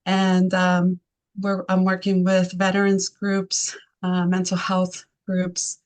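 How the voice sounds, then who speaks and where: A woman from Argentina, a native Spanish speaker with an Argentine accent, answering interview questions thoughtfully with many pauses and fillers. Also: fairly low pitch, monotone. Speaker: arts program founder.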